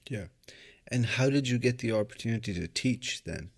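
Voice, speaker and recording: A man's voice: medium pitch, expressive, moderate pace, slightly rough. A man hosting an interview in a conversational style, asking questions and giving short responses. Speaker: podcast host, interviewer.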